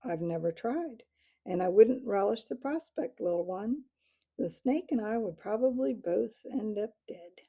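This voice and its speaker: Storybook owl captain, male, mocking and raucous, a woman reading it, gravelly and dark. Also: medium pitch, expressive, measured pace, lo-fi sound. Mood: gloating.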